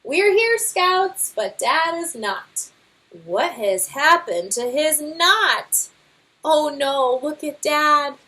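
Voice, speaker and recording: A woman's voice: sits high, expressive, extremely slow, bright, forceful tone, voice pushed up, full character voice. A woman voicing an eager young boy bear scout in a children's storybook, speaking in an earnest singsong. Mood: urgent. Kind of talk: children's picture book read-aloud.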